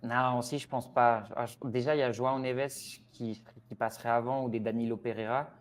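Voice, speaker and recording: A man's voice: mid-range voice, steady tone, a little fast. A man with Porto roots giving his opinions in a casual football discussion, hesitant with many fillers and restarts. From Portugal (Porto roots). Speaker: expert guest on Portugal.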